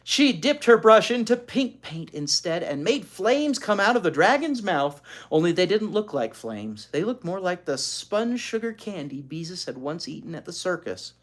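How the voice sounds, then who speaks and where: Animated children's storyteller with very lively pitch. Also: moderate pace, hardly any pauses. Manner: expressive, explains words to children. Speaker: storyteller reading a children's book aloud. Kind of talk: animated read-aloud with asides to child listeners.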